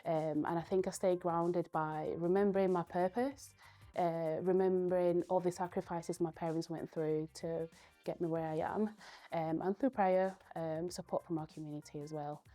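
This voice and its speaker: A young woman, a Spanish speaker from Spain, giving earnest, thoughtful interview answers and pausing often to gather her words. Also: lowish voice, fairly even intonation, mellow, faint music behind. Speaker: guest, powerlifter.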